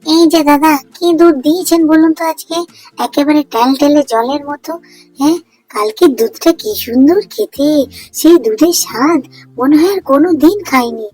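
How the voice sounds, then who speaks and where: Indignant female customer for a fairy-tale cartoon, woman's voice: high-pitched, fussy, complaining, with background music. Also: normal speed, a little mellow, natural voice, rough recording.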